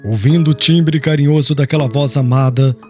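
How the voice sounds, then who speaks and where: Male audiobook narrator, slightly high voice. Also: moderate pace, soft background music. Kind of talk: scripted literary narration.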